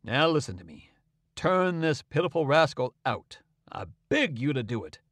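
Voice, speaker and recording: An indignant older doctor , as a male reader puts him on, hammering his words with stern force. His voice sits lower and heavier, recorded close and dry. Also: big pitch swings, normal speed, a bit bright, no echo.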